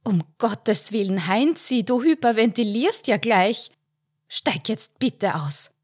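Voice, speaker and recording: A woman's voice: mid-range voice, animated, measured pace, no room sound, clean studio sound. A woman with an Austrian accent voicing an older mother who fusses over her grown son with loving sternness. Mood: fussing concern. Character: older mother, tender but firm, fussing.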